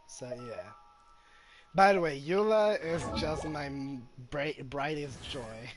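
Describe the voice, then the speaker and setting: A man's voice: on the high side, lively intonation, takes it slowly, music in the background. A man hosting a gaming video with casual, informal commentary, repeating points for emphasis.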